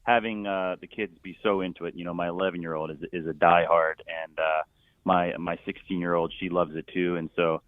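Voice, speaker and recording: A man's voice: sits low, flat delivery, brisk, no echo. A middle-aged man from Philadelphia, a stadium announcer talking casually as a guest, rambling with many fillers and restarts. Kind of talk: casual phone interview. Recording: tinny phone line, dry.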